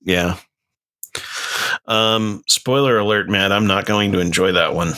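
A man's voice: lowish voice, fairly even intonation, unhurried, pushed and bright. A man co-hosting a podcast in casual chat, hesitant and full of fillers. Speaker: podcast co-host.

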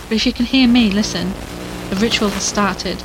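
A woman's voice: mid-range voice, normal speed, noisy background, close to an everyday voice. A woman playing a determined, wry investigator in a horror audio drama, plain-spoken and conversational with some urgency. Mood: urgent. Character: determined, wry female investigator racing against doom.

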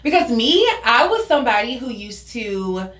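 Woman podcast guest, slightly high voice, conversational, slangy and emphatic, recorded with roomy echo. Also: big pitch swings, moderate pace, fairly bright tone. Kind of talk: casual conversational podcast chat. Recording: roomy, echoey podcast sound.

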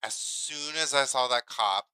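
A man with a very bright, forceful voice chats as a podcast co-host. His sound is clean, smooth and dry. He tells stories casually, repeating phrases for emphasis. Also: mid-range voice, unhurried, dry recording. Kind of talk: casual personal storytelling.

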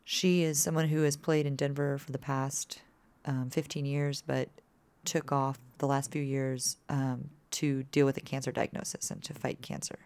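A woman in her thirties with a low voice, chatting casually as a musician guest and filling her talk with "like". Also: flat delivery, moderate pace, a little mellow, clear, resonant tone. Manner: chatty, peppered with "like" fillers. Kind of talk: casual interview chat.

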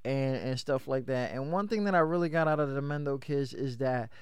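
A man hosting a casual hip-hop radio interview, with a high voice and a loose, hyped manner full of shout-outs. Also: brisk, clear, resonant tone, no room sound, studio quality. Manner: loose, hype, lots of shout-outs and fillers. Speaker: radio show host.

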